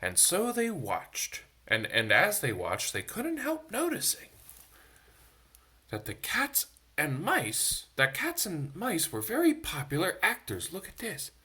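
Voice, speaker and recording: A man's voice: on the high side, wildly swooping pitch, takes it slowly, bright, forceful tone, husky. A man reading a picture book aloud to children, expressively, with chatty asides to his young listeners. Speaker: storytime reader for a church. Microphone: phone.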